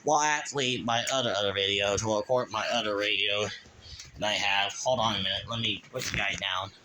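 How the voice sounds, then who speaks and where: A man's voice: fairly low pitch, steady tone, fairly quick, background noise. A man from Kansas talking casually in a show-and-tell vlog from his bedroom, rambling with many restarts and a repeated verbal tic. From Kansas, USA. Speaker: channel owner, weather radio hobbyist.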